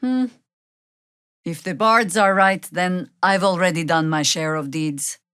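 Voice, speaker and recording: An actress playing a seasoned, middle-aged druid woman in a video game, a wry and stern resistance fighter who speaks with measured, commanding dryness. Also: fairly low pitch, a bit bright, clean tone, no echo, clean studio sound. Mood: earnest, resolute. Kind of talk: video game character dialogue, dramatic acting.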